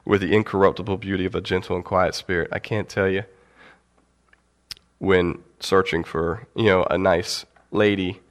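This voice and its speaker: Young guy with a really low voice and a cold, chatty bible lesson, lots of ums. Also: flat delivery. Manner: casual, halting, with fillers and light laughs. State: has a cold. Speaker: church teacher. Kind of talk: informal Bible teaching.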